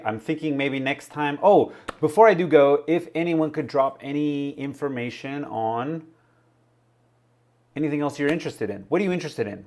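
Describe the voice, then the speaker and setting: Rambling man hosting a livestream. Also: medium pitch. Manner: rambling, laughs often, reads viewer chat. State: slightly raspy voice. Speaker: livestream host. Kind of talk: casual livestream chat.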